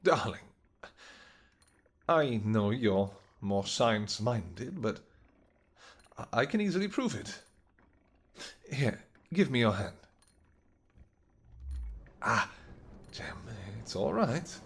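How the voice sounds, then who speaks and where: A man playing a devoted vampire king in an intimate audio roleplay, speaking earnestly and gently in halting, formal, old-fashioned words. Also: medium pitch, very animated, slightly rough, full character voice. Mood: tender, reassuring. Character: devoted vampire king, tender, anxious, apologetic husband.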